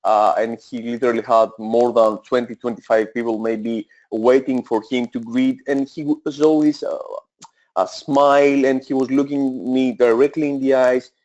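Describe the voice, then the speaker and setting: A man's voice: medium pitch, flat delivery, normal speed, darkish tone, muffled recording. A man in his thirties with a Greek accent, presenting a sales webinar in an eager, persuasive way, with many fillers and self-corrections. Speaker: webinar presenter, e-commerce coach.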